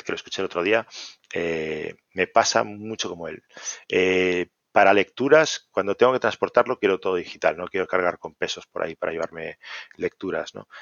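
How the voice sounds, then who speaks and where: A man's voice: deep voice, vocal fry, a bit bright, dry recording, highs cut off. A middle-aged man raised in Venezuela, a podcast guest rambling and correcting himself as he talks about old computers. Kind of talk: casual interview chat.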